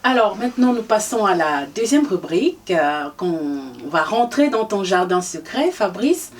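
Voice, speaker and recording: A woman's voice: mid-range voice, very animated, unhurried, soft background music. Encouraging woman host, slightly distant. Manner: encouraging, frequent short backchannels. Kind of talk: interview hosting. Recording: distant voice with faint background noise.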